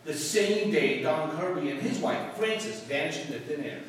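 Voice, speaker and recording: Distant and ringing in a big hall with faint noise, this is a man acting a brash male reporter from an old radio play. He is teasing and breezy, in a high voice. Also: expressive, normal speed, close to an everyday voice. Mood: breezy needling.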